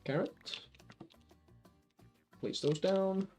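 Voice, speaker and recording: A man's voice: sits high, very slow, dark tone, faint music behind. A man from Finland with a light Finnish accent, chatting casually while playing a game on a live stream, rambling with many restarts. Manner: rambling, lots of restarts and fillers.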